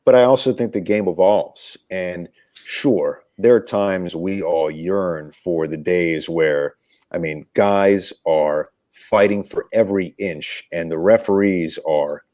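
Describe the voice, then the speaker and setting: A man's voice: low-pitched, slow, warm tone, no room sound. A man giving conversational sports analysis as an interview guest, reflective and emphatic in his phrasing, like a storyteller. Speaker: sports radio play-by-play commentator, interview guest.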